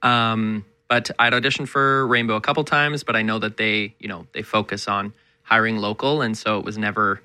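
A man in his thirties, a stage actor from Calgary, talking in a relaxed, modest way with many fillers. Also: on the low side, normal speed, clean tone, no room sound. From Calgary, Canada.

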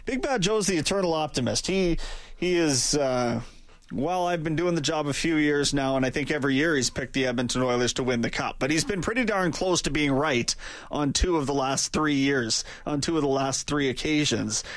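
A man's voice: a little high, normal speed, no room sound. A man hosting a sports radio talk show, chatting loosely with fillers about the coming season. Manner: easygoing, chatty, rambling with fillers. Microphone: studio microphone.

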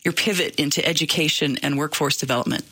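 Low, bright-toned woman radio host. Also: husky. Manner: measured, scripted introductions. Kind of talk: radio interview. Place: radio studio.

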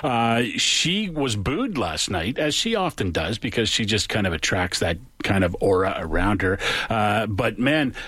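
A man's voice: on the low side, sing-song, measured pace, few pauses, a bit bright, breathy edge, no echo. A Canadian man hosting a radio show, chatting casually about sports with lots of fillers and restarts.

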